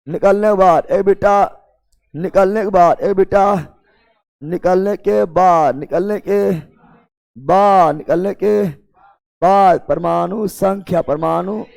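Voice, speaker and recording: A man's voice: high voice, gravelly, darkish tone. A man with a Bihari accent teaching an exam coaching class, repeating key phrases as if dictating and quizzing his students in an informal way. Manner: informal, teasing address to students. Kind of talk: classroom lecture with drilled question and answer.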